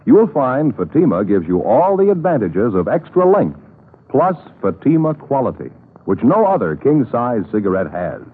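A man's voice: medium pitch, big pitch swings, moderate pace, breathy edge. A man announcing in an emphatic, formal 1950s radio style. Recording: tinny old radio recording, faint music.

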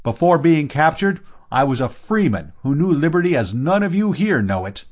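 Defiant slave hero, played by a male narrator: raised pitch, proud and haughty, declaiming in a fantasy audiobook. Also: sing-song, moderate pace, a little mellow. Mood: proud pleading. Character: proud, bold male slave, quick-witted bluffer. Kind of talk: audiobook character voice.

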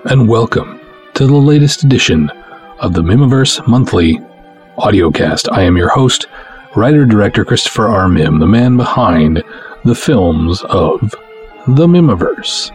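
A man hosting a podcast, addressing the listener directly in a deliberate, emphatic monologue with dramatic pauses. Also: on the low side, big pitch swings, darkish tone, soft background music. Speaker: podcast host.

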